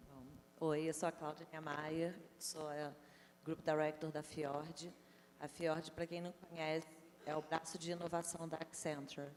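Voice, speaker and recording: Deep, flat-voiced woman in an echoing hall. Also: a little slow. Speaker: agency director, panelist. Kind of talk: panel self-introduction. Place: conference stage in a large hall. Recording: hall reverb with faint hum.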